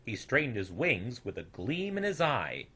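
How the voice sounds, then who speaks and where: A young man reading a rhyming children's story as an expressive, theatrical storyteller with a sing-song rhythm. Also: medium pitch, normal speed, breathy edge. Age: young adult.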